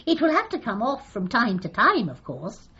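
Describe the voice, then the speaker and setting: An actress playing a meek, hapless, scatty dog owner with an earnest, innocently droll manner in a gently comic radio drama. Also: female voice, a little high, wildly swooping pitch, normal speed, breathy edge, lo-fi sound, full character voice. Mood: innocently matter-of-fact.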